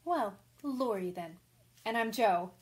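A woman's voice: fairly high pitch, animated, takes it slowly, darkish tone. A woman playing an impulsive, frank, chatty young tomboy writer in a radio drama. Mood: chatty, flustered. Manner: breathless, rambling, candid.

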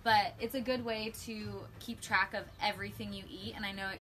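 A woman's voice: mid-range voice, fairly even intonation, a little fast, background noise. A young woman chatting casually on an outdoor deck, full of "like" and "um", recorded by a distant camera. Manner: chatty, many fillers and "like". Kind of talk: casual chat.

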